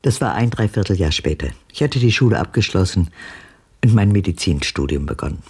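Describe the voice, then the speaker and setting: An older actress playing a haunted, commanding old woman who recounts a grim past haltingly in a German radio drama, her voice deep and husky. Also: lively intonation, a little mellow, heavily acted. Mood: grave, haunted.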